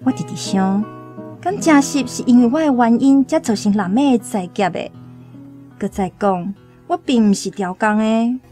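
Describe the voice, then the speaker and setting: A woman's voice: on the high side, normal speed, mellow, soft background music, close to an everyday voice. A woman with a Taiwanese accent playing a sorrowful young chieftain's daughter in a radio drama. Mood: wistful. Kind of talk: first-person radio drama monologue.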